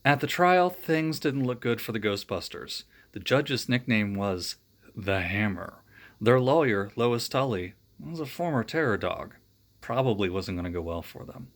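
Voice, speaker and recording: A man's voice: fairly low pitch, expressive, unhurried. A man reading a children's storybook aloud in a friendly way, adding joking asides. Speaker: storybook reader.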